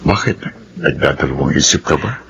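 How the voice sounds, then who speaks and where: Very deep-voiced Filipino suitor. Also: male voice, animated, moderate pace, creaky, dull audio, background noise, full character voice. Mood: earnest, pleading. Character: earnest, devoted suitor eager to marry. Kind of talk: Filipino radio drama acting.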